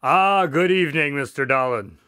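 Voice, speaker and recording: A man's voice: fairly high pitch, normal speed, bright, forceful tone, slight background noise. An actor playing a polite, wry, long-suffering doctor who tells his story in a radio drama, formal and good-natured. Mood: genial storytelling.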